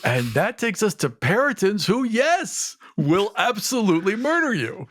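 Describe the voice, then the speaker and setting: A man's voice: high voice, big pitch swings, unhurried, fairly bright tone. A man in his fifties co-hosting a casual, joking podcast, agreeing readily and making his points emphatically. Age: 50s. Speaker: podcast host.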